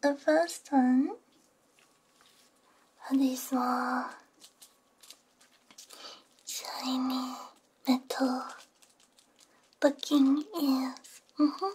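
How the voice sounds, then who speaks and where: A young woman performing a gentle, soothing ASMR roleplay in a hushed whisper with soft hums, close on a binaural microphone. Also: high voice, level tone, drawn-out words, pauses often, slight background noise.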